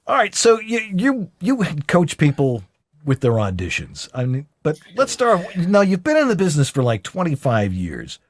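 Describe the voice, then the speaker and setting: A man hosting an interview show, his pitch moving up and down a lot as he jokes and asks questions. The recording is dry and close, with no room sound. He keeps the talk light and upbeat. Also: moderate pace, dry recording. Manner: upbeat and joking, with lively pitch swings. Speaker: podcast host.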